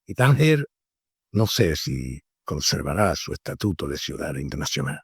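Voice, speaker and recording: A man's voice: rumbling low, big pitch swings, moderate pace, husky, no echo, studio quality, exaggerated voice. A grave, solemn film father. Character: grave father addressing his family. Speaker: film character.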